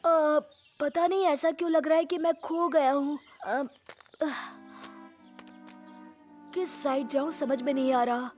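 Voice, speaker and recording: A woman's voice: sits high, steady tone, takes it slowly, long pauses, a little mellow. A woman playing a neat, polite, naive little boy in a dubbed children's cartoon. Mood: lost, puzzled. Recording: faint music behind the voice.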